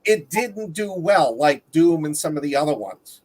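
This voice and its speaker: A man's voice: a little high, animated, normal speed, darkish tone. A man chatting casually and explaining things as a panelist in a film discussion. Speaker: podcast panelist discussing films.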